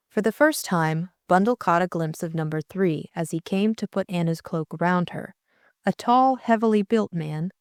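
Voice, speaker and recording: A woman's voice: fairly low pitch, a little mellow, clean tone, no room sound, clean studio sound. A young woman working as audiobook narrator and co-host, reading a story and discussing it.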